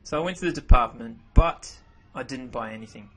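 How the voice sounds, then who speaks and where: Husky-voiced man teaching a language lesson. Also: mid-range voice, brisk, rough recording. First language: English. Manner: relaxed, self-correcting explanations. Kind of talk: narrated slideshow lesson. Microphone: voice-over.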